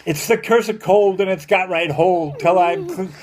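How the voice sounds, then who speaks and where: Man voicing a high, moaning, freezing gold prospector. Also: unhurried, crowd noise. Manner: moaning, pleading. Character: freezing, dying male gold prospector pleading a last request.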